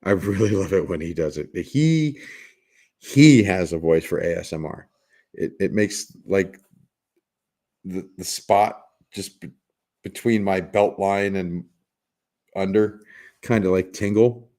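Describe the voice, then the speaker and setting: A man with a raspy smoker's voice hosting a casual livestream, joking with viewers and rambling through restarts with bursts of laughter. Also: low voice, slow pace, long pauses, warm tone. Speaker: livestream host.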